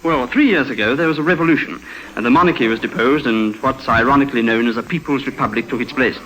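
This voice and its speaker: A man's voice: medium pitch, fast, close to an everyday voice, rough recording. An actor playing a breezy, apologetic young English newspaperman in a 1950s radio drama, with an English accent. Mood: eager explaining. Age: young adult. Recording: bright vintage radio recording.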